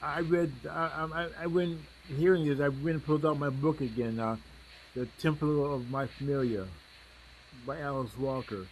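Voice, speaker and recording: A man's voice: fairly high pitch, steady tone, a little hiss or hum, poor audio. A man asking and commenting informally as an audience member, often repeating words as he stammers along. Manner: halting, stammering repetitions.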